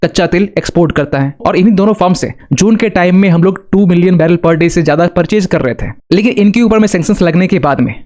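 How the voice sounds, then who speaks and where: High-pitched man explaining news. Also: fast talker, hardly any pauses, a little mellow. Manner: didactic, addresses viewers with rhetorical questions. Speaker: current affairs presenter. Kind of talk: explanatory news commentary with English terms mixed in.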